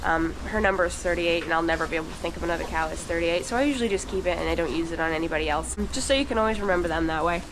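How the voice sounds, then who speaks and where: Woman w a cold, stuffy nose, quick farm vlog chat, loud barn noise behind. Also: lowish voice. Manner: chatty, talks straight to viewers. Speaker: dairy farm worker. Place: dairy barn, with calves and cows. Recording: noisy barn recording.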